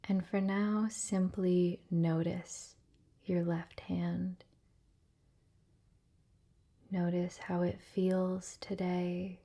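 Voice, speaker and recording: A woman guides a relaxation meditation in a soft, breathy voice that often sinks to a near whisper. Her pitch is on the low side and stays very even, with a mellow, dark tone. She is gentle and soothing, leaving long pauses between phrases.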